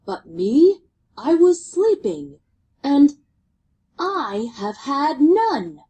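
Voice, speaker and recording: A woman voicing a big male bear in a read-aloud picture book, complaining slowly that he was left out of the fun. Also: high voice, very animated, warm tone, voice pushed up, heavily acted. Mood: aggrieved. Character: grumpy sad big male bear, woken up.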